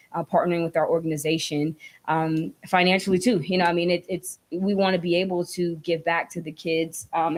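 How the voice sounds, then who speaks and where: A young woman from Georgia addresses a city council during public comment. Her voice sits low and stays fairly level in pitch. She speaks earnestly and from the heart, checking in with "right?" and "you know" as she goes. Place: council chamber.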